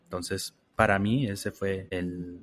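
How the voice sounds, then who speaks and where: A man from Costa Rica answering interview questions in a measured, reflective way, often restarting his phrases. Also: deep voice, fairly even intonation, a bit bright, a little hiss or hum. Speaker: interview guest.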